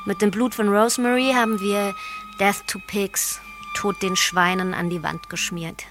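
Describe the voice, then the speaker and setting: A woman's voice: mid-range voice, steady tone, moderate pace, lightly acted. An actress playing an unremorseful female cult killer in a radio play, recounting murders in a matter-of-fact way. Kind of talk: first-person radio play monologue. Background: clear music bed.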